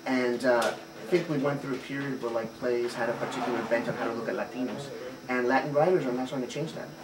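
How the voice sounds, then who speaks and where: A man's voice: medium pitch, fairly even intonation, quick pace. Thoughtful man heard from afar. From Puerto Rico. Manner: thoughtful, fluent, frequent "uh" fillers. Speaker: theater director. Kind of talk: reflective interview answers. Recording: roomy, distant mic, noisy ambience.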